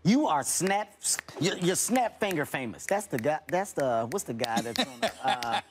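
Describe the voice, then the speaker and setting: Male, teasing and emphatic banter, very lively intonation, noisy clip audio. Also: fairly high pitch, unhurried. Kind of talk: mocking banter.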